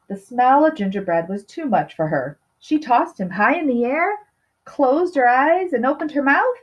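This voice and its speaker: Woman reading aloud, slightly muffled recording. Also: medium pitch. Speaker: storybook reader. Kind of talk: children's read-aloud narration with asides. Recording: slightly dull, lo-fi sound.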